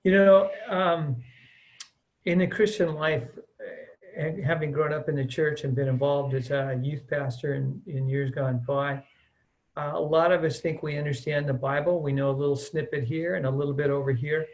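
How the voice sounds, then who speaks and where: A man's voice: fairly high pitch, fairly even intonation, moderate pace, darkish tone, poor audio. Earnest middle-aged American man. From United States. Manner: earnest, chatty, with many "uh" and "um" fillers. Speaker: interviewee, missions mobilizer. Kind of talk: relaxed conversational interview.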